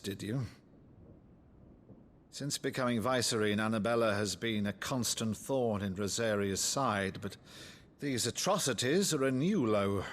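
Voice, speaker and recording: An actor playing a jovial, grandiloquent older noble uncle who governs a town, with a British accent, in a dramatic video game. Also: male voice, on the low side, fairly bright tone, a little hiss or hum. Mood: grave. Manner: grandiloquent, hearty.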